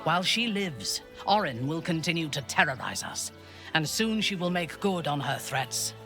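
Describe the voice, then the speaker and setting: An actor voicing a wary male adventurer companion who speaks in a grave, resolute and measured way. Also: on the high side, sing-song. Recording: game audio with music underneath.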